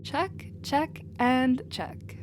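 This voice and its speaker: A woman playing a chatty, scatterbrained, friendly alien scientist, informal and gently playful, in a scripted ASMR audio roleplay. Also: high voice, very slow, background noise. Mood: brisk.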